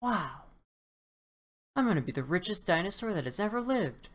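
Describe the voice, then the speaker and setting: A woman acts out an excitable male dinosaur in a children's storybook. He exclaims and questions with wide-eyed confusion, in a raised, swooping voice. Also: fairly low pitch, normal speed, voice pushed up. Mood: excited.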